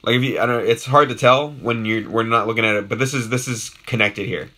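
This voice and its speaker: A young man talks loosely on a live stream, his voice raspy and hoarse from a worn-out throat. His tone is bright and forward, and he wanders between thoughts with lots of filler and restarts. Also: medium pitch, quick pace. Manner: rambling, with many ums and restarts, greeting viewers in chat. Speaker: YouTube host doing a live unboxing. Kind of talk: casual live-stream chat.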